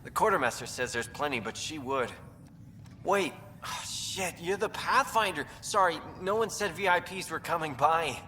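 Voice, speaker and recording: An actor playing a plain-spoken, wry chief engineer at a space colony in a video game. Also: male voice, fairly high pitch, animated, moderate pace, pushed and bright, long reverb tail, slight background noise. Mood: startled, casual. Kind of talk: naturalistic video game acting. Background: game music.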